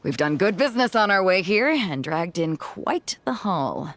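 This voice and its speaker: A woman's voice: on the low side, big pitch swings, a little slow, a bit bright. A woman voicing a bold, easygoing sea captain in a video game, with a breezy, confident, conversational manner. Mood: friendly, persuasive. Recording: music bed under the voice.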